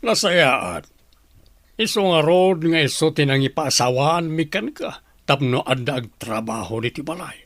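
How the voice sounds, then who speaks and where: A man's voice: a little high, animated, unhurried, fairly bright tone, dry recording, clean studio sound. A Filipino man playing a blunt, domineering father-in-law in a radio drama. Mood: scolding. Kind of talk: Philippine radio drama acting.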